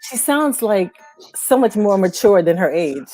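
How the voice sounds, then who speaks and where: A woman's voice: medium pitch, very animated, measured pace, dark tone, dry recording, soft background music, low-quality recording. A woman co-host in a casual, unscripted chat, effusive and emphatic, often repeating words for emphasis. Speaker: music talk show co-host.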